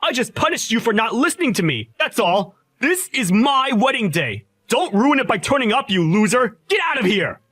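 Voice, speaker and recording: A shrill, sneering young bully of a boss, as a man puts him on, his voice shooting high and swinging wildly as he hurls insults. The sound is bone-dry and close-miked, with no room at all. He is loud, contemptuous and full of himself. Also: huge pitch swings, moderate pace, dry recording, exaggerated voice. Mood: furious. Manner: yelling insults. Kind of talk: voiced story drama.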